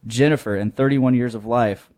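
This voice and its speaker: A man's voice: mid-range voice, moderate pace, darkish tone. A man in his twenties co-hosting a podcast, chatting casually and telling stories in a joking, sweary way. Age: 20s. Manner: joking, profane. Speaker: podcast co-host. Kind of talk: casual chat and storytelling.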